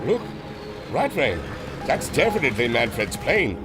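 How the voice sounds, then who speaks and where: A man's voice: mid-range voice, wildly swooping pitch, a little slow, over music. A man playing an earnest, remorseful German airship adventurer with a German accent, solemn and melodramatic. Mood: alarmed, urgent. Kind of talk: old-time radio adventure serial acting.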